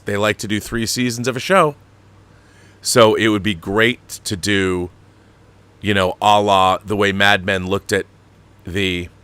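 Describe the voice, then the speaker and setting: A man's voice: low-pitched, bright, forceful tone, smooth. A man hosting a casual livestream chat, talking in an effusive, enthusiastic way about his projects. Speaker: show host and producer.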